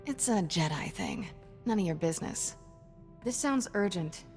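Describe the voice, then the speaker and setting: A woman's voice: on the low side, animated, normal speed, darkish tone, lightly acted. A woman playing a serious, curt, protective companion in a video game. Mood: curt, urgent. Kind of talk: scripted video game cutscene acting. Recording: hall reverb with faint music.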